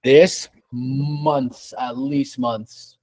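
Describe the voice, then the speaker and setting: A man's voice: medium pitch, flat delivery, drawn-out words, warm tone, no room sound. A young man from Canada, a rug dealer, answering interview questions casually at his shop desk, often repeating and restarting words.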